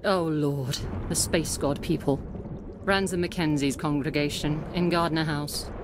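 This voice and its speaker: An actress playing a wise, rueful witch woman in a video game, speaking in a measured, deliberate way. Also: low-pitched, steady tone, noisy background. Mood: grave, knowing. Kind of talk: video game cutscene acting. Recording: game audio with clear background ambience.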